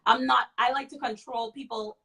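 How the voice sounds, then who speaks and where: A woman's voice: fairly high pitch, steady tone, normal speed, vocal fry, pushed and bright. A woman in her thirties, a makeup artist and guest, speaking candidly in a casual interview and often repeating short phrases. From Freeport.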